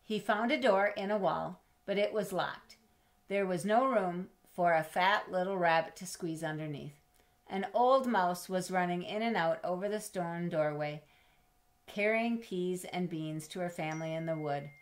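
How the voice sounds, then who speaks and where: Middle-aged female storybook reader, slightly low pitch, measured and expressive delivery aimed at small children. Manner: expressive, measured, speaking to young listeners. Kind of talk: children's read-aloud with chatty asides. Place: indoors in a home room with bookshelves.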